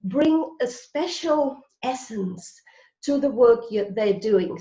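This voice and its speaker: A woman's voice: on the high side, dark tone. A woman hosting an online interview show in an enthusiastic, effusive way over a video call. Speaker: host. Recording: roomy, dull video call audio.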